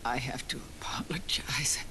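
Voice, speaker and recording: A woman playing a weary but resolute wounded resistance leader in a video game, halting, breathy and strained. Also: very deep voice, steady tone, whispered. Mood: urgent, apologetic. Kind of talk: dramatic video game acting. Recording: clear ambient background noise.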